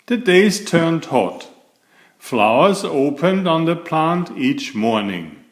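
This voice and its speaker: Slow, lilting male storyteller in echoey room. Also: fairly high pitch, very animated. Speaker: storyteller, children's author and channel host. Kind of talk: read-aloud children's bedtime story narration.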